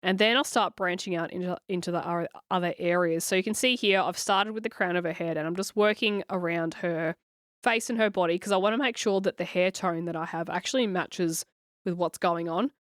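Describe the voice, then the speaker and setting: Hoarse woman, dry close voice. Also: on the low side, fairly quick, dry recording. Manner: chatty, encouraging, reassuring. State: hoarse from a cold, scratchy. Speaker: coloring channel host. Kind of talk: voice-over coloring tutorial.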